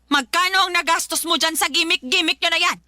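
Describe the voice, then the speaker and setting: A woman's voice: very high-pitched, a little fast, no room sound, heavily acted. An actress playing a domineering, nagging mother with a Filipino accent who scolds her grown daughter in a radio drama. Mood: angry interrogating. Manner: sharp, scolding, interrogating.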